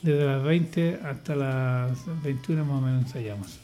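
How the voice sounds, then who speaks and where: A man's voice: mid-range voice, fairly even intonation, unhurried, warm tone, faint music behind. An older man speaking in casual radio chat, hesitant and rambling, with many restarts and fillers. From Chile. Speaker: radio guest, church choir leader.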